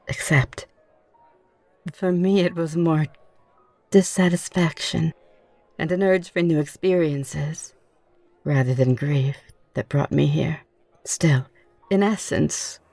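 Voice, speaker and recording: A woman's voice: deep voice, darkish tone, no room sound, exaggerated voice. A woman playing a curious, optimistic newly turned vampire, speaking in formal, genteel and reassuring phrases for an ASMR roleplay. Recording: music behind the voice. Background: music.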